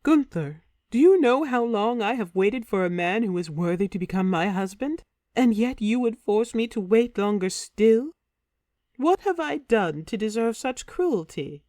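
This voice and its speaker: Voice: mid-range voice, a little slow, darkish tone, no room sound, clean studio sound, voice pushed up, close to an everyday voice. A storyteller voicing a passionate, immortal warrior queen, in a raised, ardent tone, in a storybook reading. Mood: wounded. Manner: pleading, ardent.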